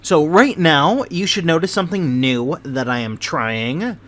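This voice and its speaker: A man reading a classic novel aloud as narrator, in a measured way with the odd slip and restart. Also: a little high, a bit bright. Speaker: narrator and channel host.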